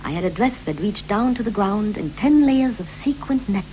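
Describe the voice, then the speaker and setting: An actress playing a wistful wife who recalls her girlhood in measured, echoing short phrases, in the style of a poetic 1960s radio play. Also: mid-range voice, expressive, warm tone, natural voice. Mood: prim, girlish reminiscence. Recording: vintage 1960s radio recording with hum and hiss.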